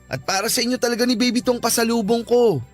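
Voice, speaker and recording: A young Filipino husband and father in a radio drama. His voice is high for a man, earnest and emotive, with faint music behind. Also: fairly even intonation, fast talker. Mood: earnest, tender.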